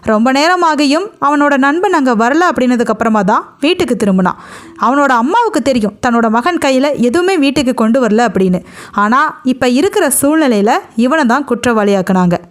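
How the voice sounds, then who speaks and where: A woman's voice: high-pitched, expressive, a little fast. A woman telling a story as a narrator, in a dramatic spoken style.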